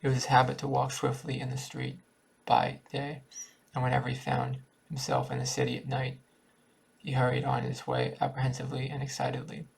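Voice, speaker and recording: A man reading a literary short story aloud in a halting way, sometimes stumbling over words. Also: medium pitch, vocal fry, slightly rough, poor audio. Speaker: amateur story reader.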